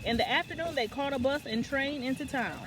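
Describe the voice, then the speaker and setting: A woman's voice: sits high, a little fast, noisy background. A woman reading a children's picture book aloud as a story time reader, now and then stumbling and correcting herself.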